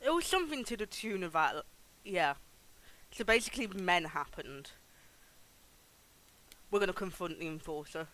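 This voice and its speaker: A man's voice: sits high, lively intonation, normal speed, long pauses, bright, forceful tone, rough recording. A man streaming a game, giving casual playthrough commentary.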